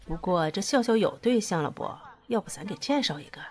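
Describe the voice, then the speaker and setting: A woman acting a chatty, flattering middle-aged neighbour auntie in a Chinese audio drama. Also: mid-range voice, fairly quick, lightly acted.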